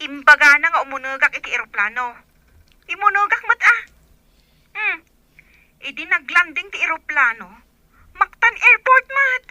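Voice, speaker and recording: A woman's voice: very high-pitched, animated, normal speed, long pauses, pushed and bright, no room sound, heavily acted. A woman playing a distressed, naive young woman in an Ilocano radio drama. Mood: plaintive.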